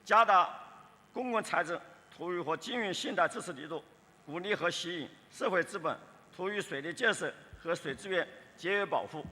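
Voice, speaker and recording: Bright, forceful man speaking in a hall. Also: sits high, normal speed. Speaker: conference speaker. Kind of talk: formal prepared speech. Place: large conference hall. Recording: reverberant hall.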